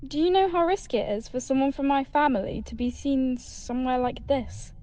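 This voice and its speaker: A woman's voice: sits high, animated, brisk, warm tone. A young woman playing a defiant, anxious eighteen-year-old daughter in an audio drama. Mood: tense, guarded. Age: young adult. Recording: slightly muffled home recording.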